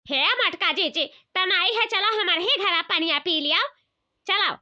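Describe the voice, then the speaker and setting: Sly, gossipy cartoon neighbour: shrill, very high, exclamatory, Awadhi accent, lo-fi recording. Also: female voice, measured pace, bright, forceful tone, exaggerated voice. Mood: nosy, insistent. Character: nosy, sly, squeaky village women neighbours. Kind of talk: comedy cartoon dialogue.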